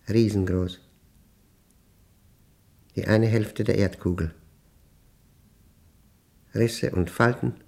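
Haunted professor, low voice, very slow, precise fragmented phrases, radio drama monologue. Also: male voice, dark tone, exaggerated voice. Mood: grave, clipped, then hushed, entranced. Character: troubled methodical male professor recording his nightmares as a diary. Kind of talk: German radio play monologue.

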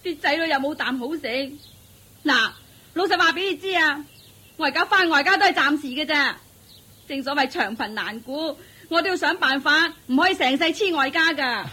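High, sharp, bitter wife. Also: female voice, lively intonation, normal speed. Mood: bitter complaining. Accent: Hong Kong Cantonese. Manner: scolding, sharp-tongued. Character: bitter, disdainful wife fed up with poverty. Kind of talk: Cantonese radio drama acting.

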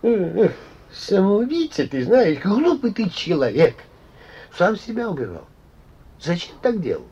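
An actor playing a rambling, sighing, blunt and mocking injured inventor in a Soviet radio play. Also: male voice, sits high, huge pitch swings, a little slow, warm tone, slightly rough, exaggerated voice. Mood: mocking, earnest. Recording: muffled old radio recording.

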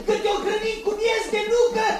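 An actor voicing a sly talking magic horse in a 1960s radio drama, shrill and mocking toward its master. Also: male voice, completely flat delivery. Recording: old echoey radio tape with hiss.

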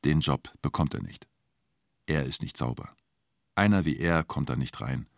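Ein Schauspieler spielt in einem Hörspiel einen nüchternen, sachlich formulierenden Erzähler und Vernehmer. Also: male voice, extremely low pitch, expressive, moderate pace, vocal fry, dry recording, clean studio sound, full character voice. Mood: sober, reflective. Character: sober male narrator and formal police interrogator. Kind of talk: scripted radio drama narration.

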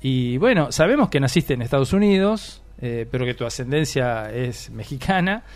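A man's voice: medium pitch, lively intonation, moderate pace. Argentine male radio host in his sixties who asks long, meandering questions. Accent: Argentine Spanish. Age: 60s. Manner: rambling, hesitant with many fillers. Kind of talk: warm radio interview.

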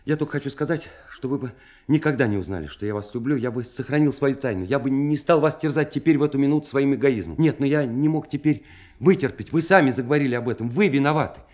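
An actor as a lovelorn, anguished young dreamer. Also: male voice, mid-range voice, a little mellow, lightly acted. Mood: agitated, defiant. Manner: halting, fervent, pleading, repeats himself. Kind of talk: Russian radio play acting.

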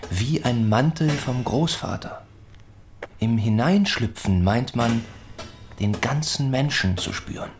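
A man narrating a literary radio play in short, deliberate, measured phrases, as a sober narrator of village life. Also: mid-range voice, animated, slight background noise. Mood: sober, reflective.

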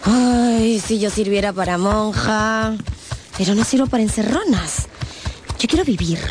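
A woman's voice: mid-range voice, lively intonation, a little slow, a little hiss or hum, close to an everyday voice. An actress playing a naive but rebellious young woman of about twenty in a radio novel, earnest and yearning.

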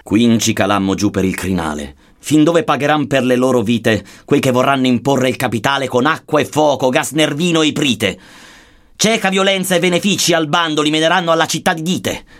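A man's voice: mid-range voice, fairly quick, close to an everyday voice. A man playing a poet pilgrim who recounts a journey through hell in theatrical, declamatory archaic verse. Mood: grim. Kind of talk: dramatic verse narration. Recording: music behind the voice.

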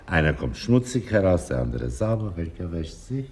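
A wry, patient talmudic teacher in a radio play. The voice is very low and husky, and it teases gently with deliberate, sly phrasing. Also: male voice, very animated, full character voice. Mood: didactic, sly. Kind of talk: theatrical radio play dialogue.

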